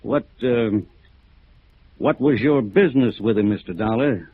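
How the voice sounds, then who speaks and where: Older small town sheriff, husky, folksy but kinda menacing, tinny old radio. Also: male voice, mid-range voice. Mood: wary. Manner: hesitant, folksy, drawn-out understatement. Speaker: actor in a radio drama. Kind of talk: 1950s radio drama acting.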